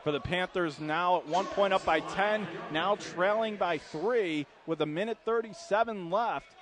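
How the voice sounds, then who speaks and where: A man's voice: high voice, a little slow. A man doing live radio play-by-play of a basketball game as the announcer, describing the action in short clipped phrases. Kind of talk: live radio sports commentary. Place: indoor basketball arena. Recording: noisy live gym, bouncing ball behind. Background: loud game ambience, basketball bounces.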